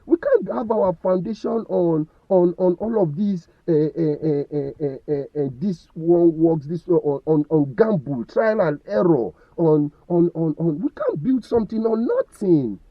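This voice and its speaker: A man's voice: high voice, gravelly, dry recording, dull audio. A Nigerian man giving impassioned opinion commentary, firing rhetorical questions and stumbling over repeated words.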